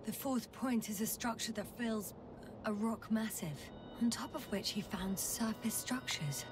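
A woman playing a focused scientist exploring an alien planet in a video game, measured and matter-of-fact with a dry edge. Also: fairly high pitch, far from the mic, background noise, close to an everyday voice. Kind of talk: naturalistic game voice acting. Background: game music.

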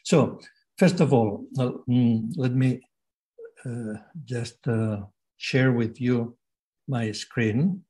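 A man's voice: mid-range voice, very slow, warm tone, rough recording. A man in his sixties with a Catalan accent, giving a hesitant lecture by video call from a home study, with many fillers and restarts. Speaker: guest lecturer. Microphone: laptop webcam on video call.

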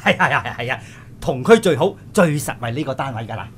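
A man's voice: medium pitch, lively intonation, quick pace, a bit bright, slight background noise, lightly acted. An actor playing a fawning, flustered male estate agent in a radio drama, hesitant and apologetic, with a Hong Kong accent. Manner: hesitant, stammering, apologetic, ingratiating. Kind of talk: Cantonese radio drama acting.

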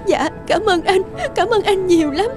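A woman's voice: very high voice, moderate pace. A woman playing a distressed, grateful young wife and mother in a dubbed cartoon, with music behind her.

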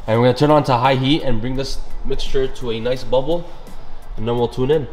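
A man's voice: medium pitch, a little fast, darkish tone, soft background music. A young man chatting through a cooking session in a big home kitchen, upbeat and gushing about the food. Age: young adult. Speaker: cooking vlogger. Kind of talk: casual cooking commentary.

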